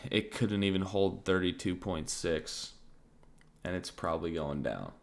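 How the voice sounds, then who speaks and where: A man streaming crypto market commentary in a casual, thinking-aloud way, his voice slightly raspy and often interrupted by throat clearing. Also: low voice, a little slow. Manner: relaxed, thinking aloud, many fillers like "like" and "um".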